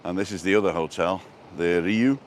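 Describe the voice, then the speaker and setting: A British man with a low-pitched voice rambles casually through a live walking tour, slipping in dry jokes as he goes. He is recorded outdoors, with noticeable ambient noise around him. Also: fairly quick. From born in England, lived in Wales and abroad. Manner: rambling, jokey. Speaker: travel vlogger and livestream host. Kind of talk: casual live walking-tour chat, answering viewer comments. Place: outdoors, walking along a seaside promenade. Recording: noisy outdoor street and seafront ambience.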